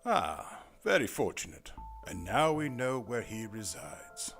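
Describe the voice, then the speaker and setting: A man's voice: fairly low pitch, big pitch swings, creaky, a bit bright, soft background music, heavily acted. A man acting a formal, courteous male investigator. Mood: composed, polite. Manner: formal, measured, old-fashioned wording. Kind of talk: audio drama acting.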